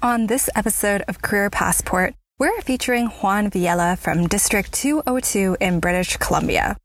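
A woman's voice: medium pitch, normal speed. A woman hosting a workplace podcast, reading an upbeat scripted introduction and then interviewing a guest, recorded at home on a budget lapel mic. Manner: upbeat, enthusiastic. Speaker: podcast host.

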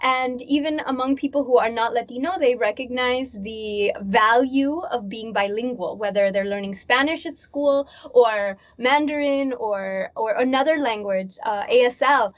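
A woman, a children's music performer, explaining her work clearly as an interview guest. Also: a little high, unhurried, hardly any pauses. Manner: articulate, explanatory. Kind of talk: interview conversation.

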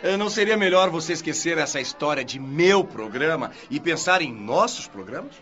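A calm spirit worker in a radio drama who reassures a nervous colleague with light irony. The voice is high and bright and moves lively in pitch. The audio is dull and lo-fi. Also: male voice, normal speed, soft background music. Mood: reassuring.

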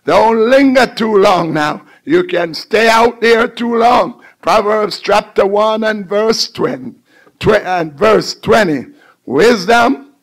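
A man's voice: sits high, takes it slowly. A man preaching a fervent call-and-response sermon, exhorting listeners and repeating phrases with emphasis.

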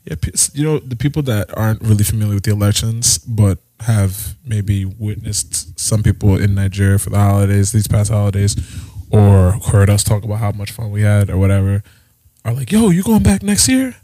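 Low-voiced man teasing his co-host. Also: normal speed, warm tone, no echo. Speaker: podcast co-host. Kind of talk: casual chat.

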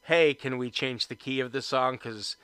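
A man hosting a podcast, asking relaxed questions and giving short, upbeat affirmations. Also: medium pitch, normal speed, clear, resonant tone, no echo. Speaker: podcast host. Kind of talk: casual interview chat.

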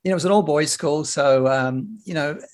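A man in his fifties with an Australian accent, a broadcaster telling stories as a podcast guest in a relaxed way, with many fillers and restarts. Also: a little high, brisk. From Sydney, Australia. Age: 50s. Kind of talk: casual interview chat. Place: home near a rail line.

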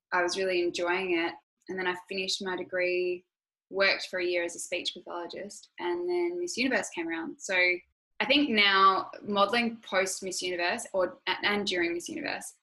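This is a young Australian woman talking openly as a podcast guest. Her voice sits a touch low yet comes across bright and forward. She thinks aloud, with plenty of "like" and "you know". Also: low-quality recording. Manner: candid, reflective, many fillers like "you know". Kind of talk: conversational interview.

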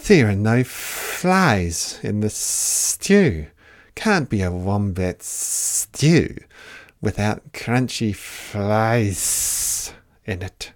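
Narrator doing an old lizard guy, real slow n hissy, scornful, middle pitch, storybook. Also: lively intonation. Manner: slow, drawn-out hissing. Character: old, scornful, hissing male blue-tongue lizard.

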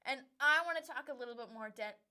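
A woman's voice: high voice, fast, bright, forceful tone, clear, resonant tone. A young woman from Wisconsin co-hosting a podcast, talking bluntly and profanely in casual chat, laughing often. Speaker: podcast co-host.

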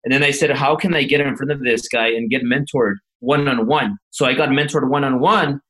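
Middle-aged man, native Spanish speaker, plain-spoken guest, mid-pitched voice, repeats himself and restarts. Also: a little fast, a bit bright, low-quality recording. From United States. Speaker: guest entrepreneur. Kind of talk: candid storytelling in conversation.

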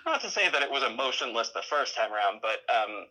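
Male podcast guest, bright mid-range voice, conversational, hesitant, modest delivery. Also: mid-range voice, big pitch swings, quick pace, poor audio. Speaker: podcast guest, audio drama creator. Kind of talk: casual interview chat.

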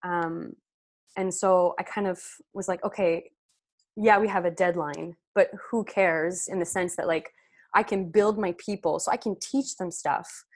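A woman's voice: on the low side, steady tone, measured pace, a little mellow, no room sound, clean studio sound. A French Canadian woman whose first language is French, chatting as a podcast guest with many fillers and restarts. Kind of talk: casual podcast chat.

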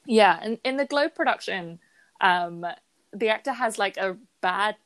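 A woman's voice: mid-range voice, lively intonation, measured pace, fairly bright tone, no echo. A woman co-hosting a podcast, talking through theatre productions in an analytical way with frequent hedges. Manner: analytical, hedging with "sort of" and "like". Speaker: podcast co-host. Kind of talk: casual chat about theatre.

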